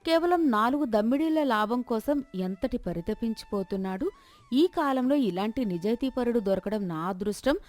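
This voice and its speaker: A woman's voice: fairly high pitch, lively intonation, normal speed, a little mellow, lightly acted. A woman voicing a gullible male villager thinking aloud in earnest admiration, in a moral story. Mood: admiring.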